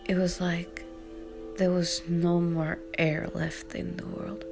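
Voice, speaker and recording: A young woman playing a weary, drugged teenage girl in a drama, confessional, with a languid, halting, half-whispered delivery. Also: fairly low pitch, level tone. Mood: hushed, reflective. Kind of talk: naturalistic screen drama monologue, fan voiceover. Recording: music behind the voice.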